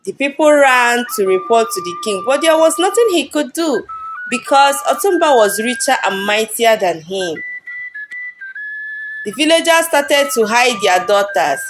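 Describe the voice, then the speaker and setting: A woman's voice: on the high side, low-quality recording. A woman working as a storyteller, narrating a scripted folktale.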